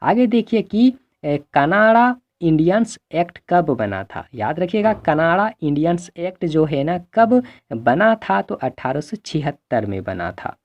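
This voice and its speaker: A man's voice: on the high side, very animated, measured pace. A male teacher from the belt, giving an exam revision lesson, repeating himself and answering his own questions. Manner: repetitive, asks then answers, frequent check-in tags. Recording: dull lo-fi microphone sound.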